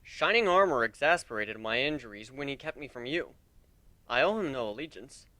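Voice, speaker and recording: A man voicing a gentle, loyal pony husband, quiet and soft-spoken, in a dramatic fan fiction reading. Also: mid-range voice, expressive, brisk, dry recording. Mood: quietly resentful.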